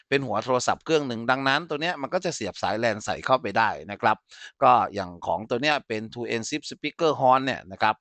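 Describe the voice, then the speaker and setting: A man with a medium-pitched voice presents an informal technical tutorial. He explains as an instructor demonstrating live, often checking that the listener follows. The sound is dry, with very little room in it. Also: pushed and bright, no room sound.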